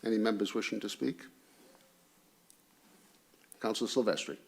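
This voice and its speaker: A male committee chair with a low, gravelly voice gives brief procedural prompts. There is faint noise behind him. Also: normal speed. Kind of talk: brief procedural meeting remarks. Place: indoor public council meeting room.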